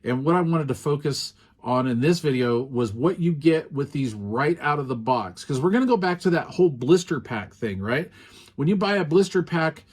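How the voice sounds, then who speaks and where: A man's voice: on the high side, normal speed, husky. Man, middle-aged, casual rambling review, plenty of fillers. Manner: relaxed and rambling, many fillers. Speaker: radio reviewer.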